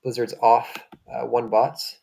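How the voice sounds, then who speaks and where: A man with a gravelly, fry-edged voice and an even middle pitch talks through a strategy game as he plays. He hesitates a lot, fills gaps with "um" and reaches for words. The talk is loose and casual. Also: takes it slowly. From French and Hungarian by birth. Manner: hesitant, searching for words, many fillers. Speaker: streamer playing a strategy game. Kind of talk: casual gaming chat.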